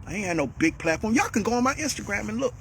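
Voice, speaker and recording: A man delivering a heated, repetitive monologue as a vlogger, calling out a rival and swinging up to shouts. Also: sits high, animated, fast talker, vocal fry, a little hiss or hum.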